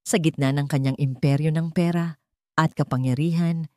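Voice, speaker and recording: Female narrator for dramatic audio fiction; low-pitched, soft dark tone, very dry close sound. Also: dry recording.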